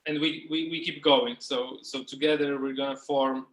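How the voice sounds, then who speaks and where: A man's voice: medium pitch, steady tone, normal speed, fairly bright tone, roomy sound, a little hiss or hum, rough recording. A man leading a group workshop, giving casual instructions in a loose, informal way, as if thinking aloud. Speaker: workshop facilitator. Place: indoors, on a group video call.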